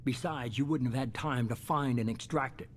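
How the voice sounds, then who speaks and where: An actor playing a shadowy, coolly self-justifying middle-aged leader in a video game, measured, authoritative and unapologetic. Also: male voice, medium pitch, a little hiss or hum, natural voice. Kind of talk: dramatic video game dialogue.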